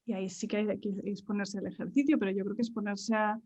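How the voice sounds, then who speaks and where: Woman panelist with a medium-pitched voice. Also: fairly even intonation, quick pace, darkish tone. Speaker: course teacher and panelist. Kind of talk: casual panel discussion.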